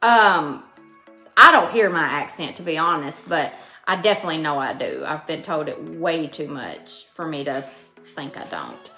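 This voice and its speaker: Woman, Western Kentucky Southern accent, bright voice, folksy craft host, casual live chat, faint music behind. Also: low voice, big pitch swings, normal speed. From Western Kentucky, United States. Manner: chatty, folksy, informal. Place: indoors at a home craft table.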